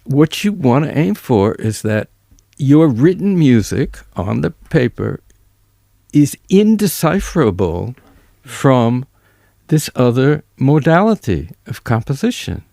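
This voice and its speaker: Animated, creaky-voiced American man. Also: medium pitch, slow, no echo. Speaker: musician and music educator, interview guest. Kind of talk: casual anecdotal storytelling.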